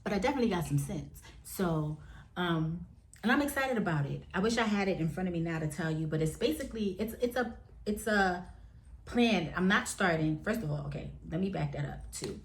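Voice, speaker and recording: A woman in her forties chatting frankly to camera at home, addressing viewers as y'all and jumping up in pitch now and then. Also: fairly quick. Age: 40s. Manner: lively, with sudden jumps up in pitch. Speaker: vlogger. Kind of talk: candid casual chat to camera.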